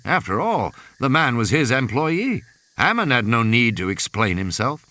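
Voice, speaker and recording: A man's voice: medium pitch, bright, forceful tone. A man narrating a novel as a scripted dramatic audiobook. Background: music and sound effects.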